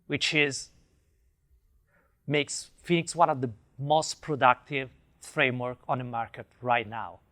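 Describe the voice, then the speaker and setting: A man's voice: medium pitch, fairly even intonation, measured pace, bright, forceful tone. A man from Italy with an Italian accent, giving an enthusiastic conference talk and taking questions, with many fillers and restarts. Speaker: conference speaker. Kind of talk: tech talk with audience Q&A.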